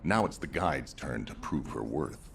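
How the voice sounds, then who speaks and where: A man playing a stern, wary veteran military commander in a video game, speaking with formal, deliberate authority. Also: very deep voice, expressive, vocal fry, full character voice. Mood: commanding, firm. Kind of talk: scripted video game dialogue.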